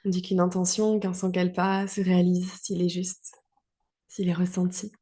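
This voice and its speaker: Calm, mellow woman guiding relaxation. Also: fairly low pitch. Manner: calm, soothing, with long pauses between short phrases. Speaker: yoga nidra guide. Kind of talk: guided relaxation meditation.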